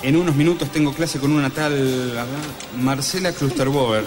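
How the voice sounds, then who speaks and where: A man's voice: mid-range voice, fairly even intonation, moderate pace, close to an everyday voice. A man playing a smitten, coaxing piano teacher in his forties in a mock-earnest parody radio drama. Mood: tender. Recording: echoey hall reverb, music behind.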